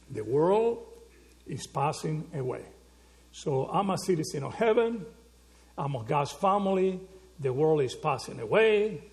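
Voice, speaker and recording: A male preacher with a gravelly, creaky edge to his voice. He sits slightly high for a man and works through his points with rhetorical questions, checking in with the congregation again and again. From Argentina. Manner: rhetorical questions, frequent "okay?" and "right?" check-ins. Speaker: pastor. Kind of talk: sermon.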